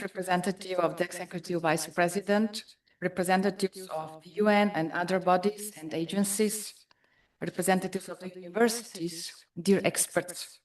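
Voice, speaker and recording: A woman ambassador from Slovenia with a Slovenian accent, delivering formal, deliberate opening remarks at a conference. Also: mid-range voice. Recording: distant mic in a conference room.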